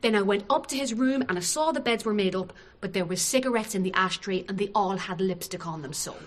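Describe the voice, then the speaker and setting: A woman's voice: medium pitch, fairly quick, close to an everyday voice. A woman playing a chatty, deferential hotel chambermaid giving earnest, insistent answers in a radio drama. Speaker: actor playing a chambermaid witness.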